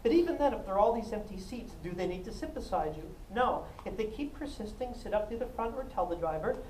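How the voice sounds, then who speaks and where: A woman giving a self-defense talk, animated and jokey, often laughing and acting out what people said. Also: mid-range voice, fast. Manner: animated, jokey, laughs a lot, acts out quotes. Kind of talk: lively storytelling talk to a class. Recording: echoey room, mic at a distance, faint noise.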